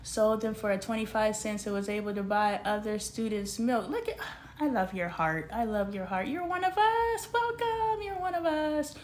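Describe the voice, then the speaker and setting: A woman in her forties hosting a casual livestream, talking straight to her viewers in an animated, slangy way. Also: fairly high pitch, moderate pace, a little hiss or hum. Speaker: livestream host.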